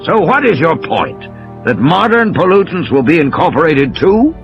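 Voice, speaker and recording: A man's voice: a little high, big pitch swings, normal speed, muffled recording, faint music behind. A man voicing a stubborn, indignant man who argues stiffly in a dramatised audiobook. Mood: irritated. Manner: stiff, argumentative. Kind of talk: dramatised audiobook character reading.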